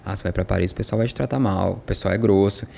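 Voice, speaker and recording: Brief: Brazilian man, guest; gravelly, quick delivery, mellow tone. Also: low-pitched. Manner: animated storytelling with quoted dialogue. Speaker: podcast guest, language school owner. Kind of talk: casual conversation.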